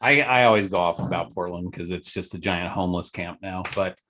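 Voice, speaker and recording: A man's voice: low-pitched, brisk, pushed and bright. A man hosting a podcast panel, asking questions and cracking sarcastic jokes. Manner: joking, asks questions, digresses. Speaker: podcast host. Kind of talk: casual chat.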